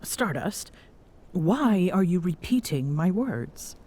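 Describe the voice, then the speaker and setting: Woman as imperious yet tender warrior queen for ASMR roleplay: very unhurried, lowish, breathy, halting and regal. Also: sing-song, warm tone, full character voice. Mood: composed, bemused. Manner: halting, hesitant, formal regal phrasing. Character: tall proud Amazonian queen, smitten, flustered, imperious yet tender. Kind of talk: intimate ASMR audio roleplay.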